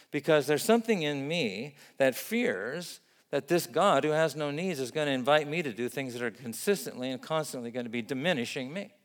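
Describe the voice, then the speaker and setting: Male pastor, grew up in Vietnam; steady, earnest preaching, middle pitch, recorded in an echoing church hall. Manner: earnest, measured preaching with emphatic lists. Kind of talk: sermon.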